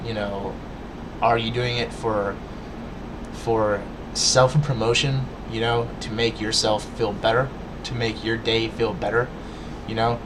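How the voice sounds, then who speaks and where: A man with a lowish voice speaks straight to his viewers, lecturing earnestly and often stumbling over words with a stutter. A clear steady hum of background noise sits under his voice. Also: unhurried. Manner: stutters, restarts, many fillers. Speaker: vlogger. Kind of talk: casual rant to camera.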